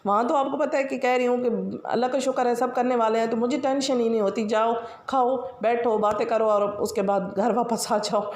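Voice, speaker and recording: A woman with a Pakistani Punjabi accent talks through her home routine in a rambling, chatty way, full of fillers. Her voice sits a little high and has a sore, scratchy edge. The room around her sounds echoey. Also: steady tone, a little fast, few pauses, darkish tone. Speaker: vlogger. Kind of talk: casual daily-routine voice-over.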